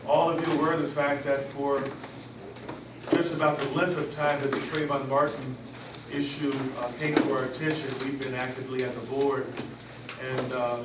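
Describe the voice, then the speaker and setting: A man chairing a community board meeting, reading out his report with many fillers and restarts. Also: medium pitch. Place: meeting room. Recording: echoey, distant, noisy room recording.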